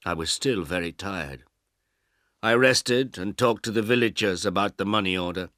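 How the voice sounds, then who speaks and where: A man reading a simple story for language learners as a narrator, in deliberate, clearly separated short phrases. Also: low voice, sharp tone, no echo, studio quality. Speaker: audiobook narrator.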